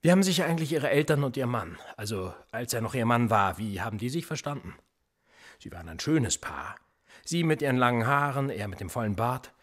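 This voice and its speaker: An actor playing a police interrogator in a radio play, sardonic and menacing, needling with theatrical rhetorical questions. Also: male voice, mid-range voice, expressive, a little fast, close to an everyday voice. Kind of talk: naturalistic German radio play acting.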